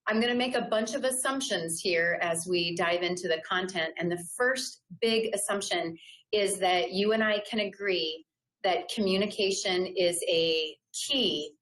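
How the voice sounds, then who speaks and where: A middle-aged woman from the American South presenting a live webinar, loud and enthusiastic, talking in a conversational way and often checking in with "right?". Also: lowish voice, unhurried, rough recording. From Southern United States. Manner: loud voice that carries.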